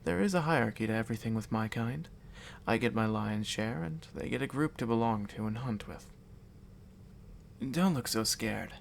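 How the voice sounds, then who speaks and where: A man's voice: medium pitch, gravelly, lightly acted. Man playing a composed vampire noble, noisy background. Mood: possessive, reassuring. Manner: measured, composed, condescendingly reassuring. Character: calm, courteous, predatory male vampire noble. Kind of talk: ASMR audio roleplay addressed to the listener. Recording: audible background noise and ambience.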